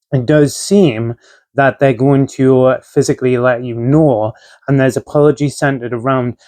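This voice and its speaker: Guy doing a tarot reading, voice kinda rough from a chest infection, laid back, lots of ums, mid pitch. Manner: relaxed and conversational, frequent filler ums and uhs. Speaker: tarot reader. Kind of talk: casual tarot reading to camera.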